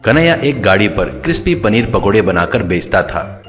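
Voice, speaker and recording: A man's voice: lowish voice, sing-song, moderate pace, fairly bright tone. A man narrating a scripted moral story as a storyteller. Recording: music under the voice. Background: background music.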